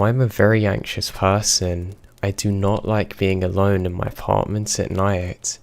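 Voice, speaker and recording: A man narrating a horror story in a slow, measured, even reading. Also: deep voice, creaky, no echo.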